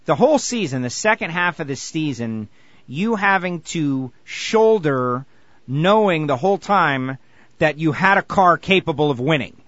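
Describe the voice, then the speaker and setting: A man hosting a sports radio show, eager and animated as he interviews a guest. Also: fairly high pitch, a little slow, no echo, muffled recording. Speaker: radio host.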